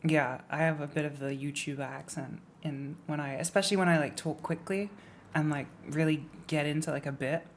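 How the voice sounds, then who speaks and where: A woman's voice: deep voice, steady tone, brisk, a little hiss or hum. A young English woman streaming, chatting casually and rambling with lots of fillers. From England. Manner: rambling, lots of likes and ums, playful rants. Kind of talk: casual livestream chat.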